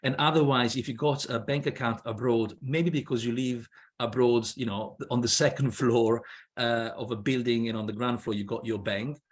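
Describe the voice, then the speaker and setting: A man's voice: medium pitch, steady tone, normal speed, breathy edge. A man in his fifties with a Swiss accent, not a native English speaker, speaking as a guest lawyer on a video call, hesitant with many fillers and restarts. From Switzerland. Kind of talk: panel discussion on a video call. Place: indoor room with wooden ceiling. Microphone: laptop webcam on a video call.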